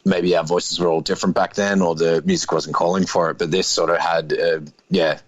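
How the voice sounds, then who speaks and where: Deep-voiced man in his thirties. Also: little pitch movement, fairly quick, dry recording, highs cut off. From Australia. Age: 30s. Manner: laid-back, hedging with many fillers. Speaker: guest musician. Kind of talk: casual interview chat.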